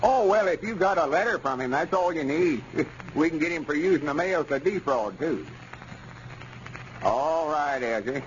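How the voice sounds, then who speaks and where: An actor playing a pompous, folksy storekeeper with a rural Arkansas accent in a 1930s radio comedy. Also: male voice, a little high, lively intonation, moderate pace, lightly acted. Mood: eager, self-important. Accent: Southern US, rural Arkansas. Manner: folksy, pompous, self-satisfied. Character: boastful, self-important rural storekeeper with lawyer ambitions. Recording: scratchy, muffled 1930s radio recording.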